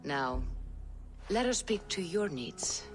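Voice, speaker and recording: An actress voicing a solemn, mystical Norse seeress in a video game, with a hushed ritual manner. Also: female voice, deep voice, very animated, takes it slowly, music in the background, heavily acted. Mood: grave, gentle. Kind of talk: video game character acting.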